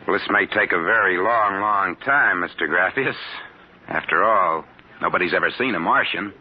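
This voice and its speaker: An actor playing a skeptical, bossy private detective with a dry, sardonic manner in an old radio drama. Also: male voice, low voice, normal speed, breathy edge, highs cut off. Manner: dry, sardonic, clipped orders. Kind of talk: 1950s radio drama acting. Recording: noisy band-limited old radio broadcast.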